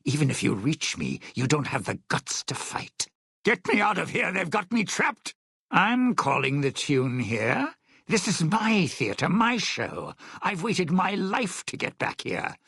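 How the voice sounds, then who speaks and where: An actor voicing a suave, bitter, century-old lounge singer in a video game, a sardonic and scheming showman with a raspy voice. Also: on the high side, wildly swooping pitch, a little slow, a bit bright, no room sound, studio quality, full character voice. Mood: taunting, menacing. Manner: theatrical, sardonic showman talking in stage metaphors.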